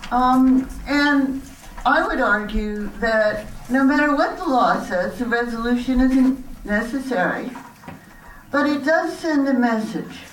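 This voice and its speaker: Slow-paced woman in an echoey hall. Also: on the high side. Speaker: resident speaking at a town board meeting. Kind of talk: prepared public comment. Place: community center meeting hall. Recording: echoey hall, distant, faint noise.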